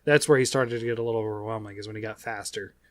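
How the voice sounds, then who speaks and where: A man hosting a casual gaming podcast, talking in a rambling, halting way. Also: lowish voice, steady tone, no echo, clean studio sound. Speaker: podcast host.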